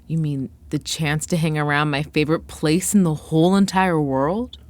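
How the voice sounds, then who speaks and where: A woman voicing an eager, baseball-mad boy in a dramatic book reading, gushing with excited questions. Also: low-pitched, moderate pace, no echo, natural voice. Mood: awestruck excitement.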